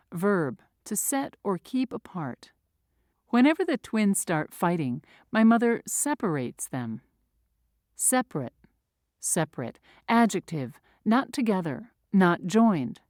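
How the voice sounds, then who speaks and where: Woman reading vocab words really slowly, lowish voice that swings up and down a lot. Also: sing-song, darkish tone, no echo, studio quality. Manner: reads each word twice, then its meaning and an example sentence. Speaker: vocabulary reader. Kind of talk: slow, deliberate vocabulary reading.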